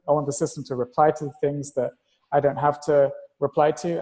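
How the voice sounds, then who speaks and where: A man with a fairly high voice and a soft, dark tone speaks as a podcast guest. He explains ideas analytically and casually, and the recording carries a long hall-like reverb. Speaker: interview guest, tech investor and entrepreneur.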